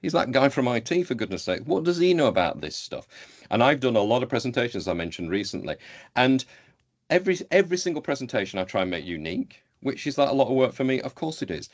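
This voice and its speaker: A man's voice: mid-range voice, sing-song, fast. A middle-aged man with a Yorkshire accent, answering conversationally as a panel speaker on a webinar and stressing his key words emphatically. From Yorkshire, England. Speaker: healthcare IT professional, panel speaker.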